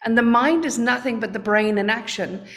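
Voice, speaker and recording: Woman guest, earnest and halting, slightly high voice. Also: fairly bright tone. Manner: earnest, searching for words, repeats herself. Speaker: guest, trading coach. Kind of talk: podcast interview.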